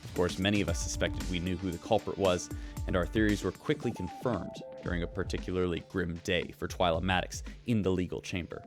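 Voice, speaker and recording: An actor playing a chatty, upbeat radio host on a ship, presenting news in a breezy, conversational way. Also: male voice, sits low, fairly even intonation, fairly quick, over music.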